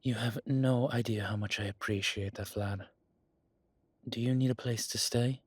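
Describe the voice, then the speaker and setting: A man playing a proud, brooding vampire burdened as a father, with a husky voice and a weary, deliberate manner, in an audio roleplay. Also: mid-range voice, fairly even intonation, no echo, natural voice. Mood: grateful. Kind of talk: dramatic audio roleplay acting.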